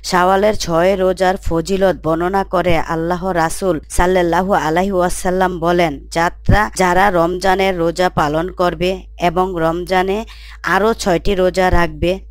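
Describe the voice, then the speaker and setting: A woman's voice: lowish voice, fairly even intonation, unhurried, no room sound. A woman playing a devout, attentive wife and mother in a scripted Islamic story, speaking in an earnest, explanatory manner.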